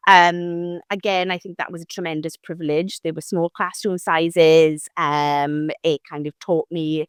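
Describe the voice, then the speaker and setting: A woman's voice: low voice, fairly even intonation, unhurried, clear, resonant tone, no room sound. A Welsh woman in her thirties, a former diplomat, talking thoughtfully in a podcast interview with many fillers and restarts. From South Wales.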